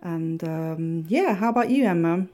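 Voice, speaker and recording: A woman's voice: fairly low pitch, animated, measured pace, mellow. A woman in her thirties whose first language is Swedish, co-hosting a casual podcast chat in a friendly way with some hesitant restarts. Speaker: podcast co-host.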